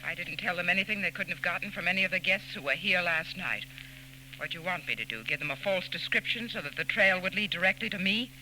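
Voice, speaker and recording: A woman's voice: lowish voice, animated, quick pace, pushed and bright. An actress playing a composed, condescending society woman who secretly runs robberies, in 1940s radio style. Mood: cool, reproachful. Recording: scratchy 1940s radio recording with hum.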